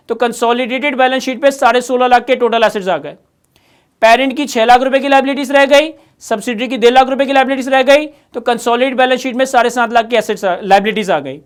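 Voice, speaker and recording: A man's voice: extremely high pitch, clear, resonant tone. A man with a Pakistani accent giving an instructional lecture, explaining step by step with emphatic repetition. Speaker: accounting lecturer.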